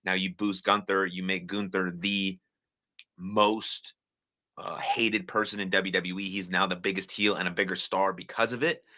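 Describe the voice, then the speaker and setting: A young American man with a low voice and a bright, forceful edge hosts a live stream. He chats casually and encouragingly with his viewers, filling pauses with plenty of "uh"s. The delivery stays loose and unscripted. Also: level tone. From United States. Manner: chatty, encouraging, frequent filler words. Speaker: livestream host.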